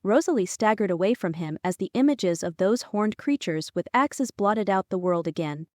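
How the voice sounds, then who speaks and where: A woman narrating a fantasy audiobook in a scripted, expressive storytelling style. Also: medium pitch, moderate pace, no echo, studio quality.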